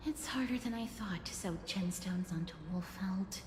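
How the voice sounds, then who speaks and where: A woman voicing a calm, measured and kind young woman. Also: mid-range voice, background noise, close to an everyday voice. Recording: echoey, reverberant game audio. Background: game music.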